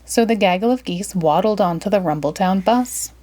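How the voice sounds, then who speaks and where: A woman reading a children's picture book aloud in an expressive, dramatic storytelling style. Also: medium pitch, moderate pace. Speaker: storybook reader.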